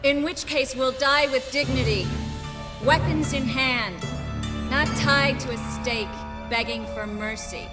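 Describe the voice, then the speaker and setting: A proud young sorceress from a fantasy game, leading with earnest resolve. Her voice sits fairly high, and music is clearly heard behind her. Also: unhurried. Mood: solemn resolve. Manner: earnest, determined. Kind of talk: video game voice acting.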